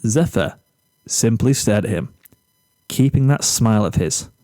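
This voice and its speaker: A man narrating a scripted story in a measured, deliberate way, pausing between phrases. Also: fairly low pitch, expressive, dark tone, dry recording. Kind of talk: scripted fan fiction narration, storybook reading.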